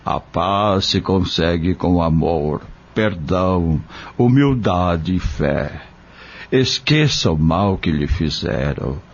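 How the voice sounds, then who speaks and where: A man playing a kind, devout country doctor in an audio drama, speaking solemnly and gently, like a fatherly preacher. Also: deep voice, expressive, slow, lo-fi sound, heavily acted. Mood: fatherly, serene.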